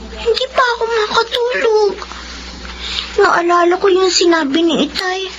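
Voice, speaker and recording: A woman's voice: very high voice, full character voice. A woman voicing a timid young daughter who scares easily, talking politely and nervously. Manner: polite, jittery, childlike. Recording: dull lo-fi audio with hiss.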